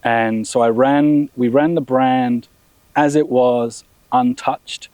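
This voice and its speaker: Founder, mid-level voice, dry close mic, easygoing ramble. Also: male voice, medium pitch, slow pace, no echo. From Australia. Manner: relaxed, rambling with many restarts and fillers. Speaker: brand founder and CEO. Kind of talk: casual interview.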